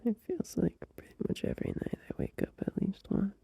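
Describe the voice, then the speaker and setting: A man voicing a gentle, loving young boyfriend in an ASMR audio roleplay, talking in a soft, drowsy whisper close to the mic. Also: dry recording, close to an everyday voice. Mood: tender, sleepy. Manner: soft drowsy whisper, unhurried, with small laughs.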